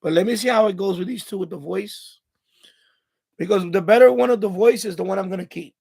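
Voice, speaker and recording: A middle-aged man hosting a casual live stream, rambling and thinking aloud in a halting way. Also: high-pitched, vocal fry, darkish tone, breathy edge.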